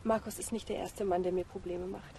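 A woman's voice: lowish voice, steady tone, brisk, noisy background, close to an everyday voice. An actress playing a strong-willed, scornful girlfriend in a television drama. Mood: defiant.

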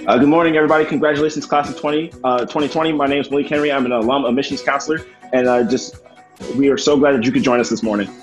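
A man's voice: medium pitch, fairly even intonation, very fast, soft background music, poor audio. A man, an admissions counselor, co-hosting a livestream in a casual chatting style. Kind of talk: casual live chat.

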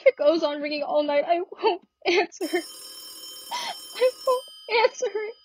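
A woman's voice: very high-pitched, lively intonation, unhurried. A woman playing a frantic, demanding bedridden wife in a radio thriller, with an indignant, melodramatic manner. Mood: frantic, frightened. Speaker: actress in a student radio play. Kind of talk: theatrical radio thriller acting.

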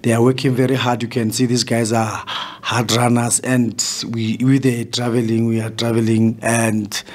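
Flat-voiced South African football coach. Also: male voice, mid-range voice. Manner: measured, restarts phrases often. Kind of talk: post-match press conference answers. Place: indoor press room. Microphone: table mic in front.

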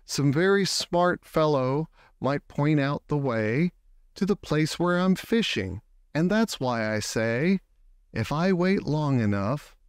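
A man reading a children's picture book aloud expressively, with deliberate phrasing and words drawn out for emphasis. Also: on the high side, clear, resonant tone, no room sound, studio quality. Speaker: storybook reader.

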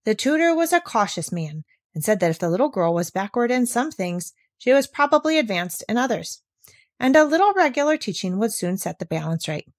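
A woman narrating a children's storybook aloud as an audiobook, with expressive delivery. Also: a little high, fairly quick, no room sound, clean studio sound.

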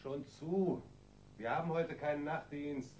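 An actor in a German radio crime drama playing a reluctant, conscience-stricken pharmacist husband who tends to yield. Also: male voice, on the high side, unhurried, darkish tone, a little hiss or hum, lightly acted. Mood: resigned.

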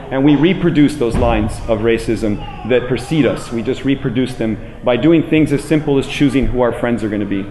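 An American man in his forties giving a public lecture in an emphatic, deliberate manner, repeating phrases for weight. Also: mid-range voice, darkish tone. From United States. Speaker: public speaker. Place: indoor room with plain walls, speaking to an audience. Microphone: handheld microphone, later a small clip-on mic. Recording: roomy echo, clear background noise.

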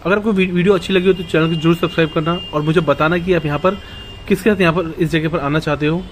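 A man's voice: fairly high pitch, steady tone, fast talker, a little hiss or hum. A man in his thirties from India, chatting through a walking tour vlog on a phone held at arm's length, mixing English words into Hindi. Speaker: travel vlogger. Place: outdoors at an old fort and town streets. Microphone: phone camera held at arm's length.

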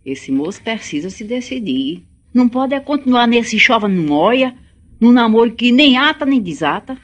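A woman's voice: on the high side, sing-song, moderate pace. A woman playing a stern, demanding middle-aged rural mother in a radio drama, with a Brazilian accent.

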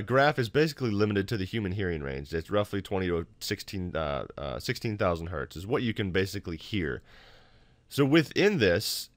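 A man with a fairly low voice explains things casually, as in a homemade screen tutorial. He is informal and self-deprecating, recorded close and dry in a home office. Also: dry recording. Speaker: tutorial host.

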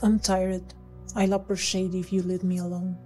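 A young woman acting a scientist who is turning into a monster, reporting her symptoms in a halting, anxious way in a small room. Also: on the low side, flat delivery, dark tone, faint music behind. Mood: tense. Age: young adult. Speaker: lead actress in a web series. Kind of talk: amateur web drama acting.

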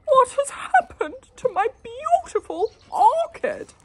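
A woman voicing a pompous, blustering middle-aged husband in a storybook, loud and outraged. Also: extremely high pitch, big pitch swings, takes it slowly, slight background noise, voice pushed up, heavily acted.

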